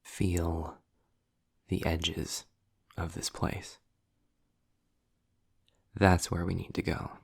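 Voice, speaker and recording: A man playing a sarcastic, impatient, erudite demon in a scripted audio roleplay, speaking in a wry, wordy way with dramatic pauses. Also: sits low, flat delivery, vocal fry, a little mellow, husky, dry recording, studio quality, heavily acted. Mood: hushed, intent.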